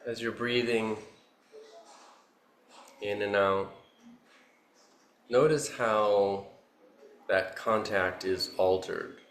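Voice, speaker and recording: A man leads a guided meditation in a low, even voice. He gives gentle instructions in short phrases, leaving long pauses between them. The recording has a roomy echo around his voice. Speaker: meditation teacher. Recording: roomy echo, faint background noise.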